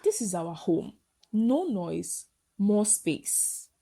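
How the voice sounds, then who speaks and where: A woman with a Nigerian accent voicing a hopeful, easygoing wife and mother in a folktale, soft and reassuring. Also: mid-range voice, very animated, drawn-out words, gravelly, heavily acted. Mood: content.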